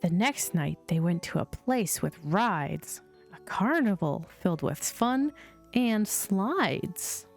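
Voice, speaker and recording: A woman narrates a children's bedtime story at an unhurried pace. Her pitch moves in a lively, sing-song way as she reads the lines aloud. Soft music plays behind her. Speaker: host reading children's bedtime stories. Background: chill music.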